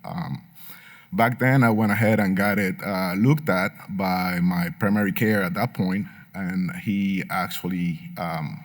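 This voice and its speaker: A man with a fairly low voice and a marked Puerto Rican Spanish accent speaks to a conference audience. He is middle-aged and earnest, and he pads his sentences with many ums and uhs while slipping in light humor. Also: fairly even intonation, measured pace. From Puerto Rico. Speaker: patient speaker at a medical symposium. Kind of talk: conference talk.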